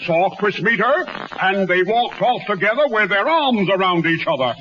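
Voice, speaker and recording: Pompous high-voiced Colonel, old radio. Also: male voice, animated, moderate pace, a bit bright. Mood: indignant. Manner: pompous, florid, orotund. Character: pompous, indignant carnival boss called the Colonel. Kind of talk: 1930s radio acting. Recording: dull, hissy 1930s radio recording.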